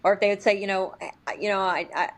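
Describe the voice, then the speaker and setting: Woman, mid-pitched voice, casual interview style, informal, frequent "like", podcast guest. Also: level tone, fast. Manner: informal, heavy use of "like", acts out short quotes. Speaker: sales agent, podcast guest.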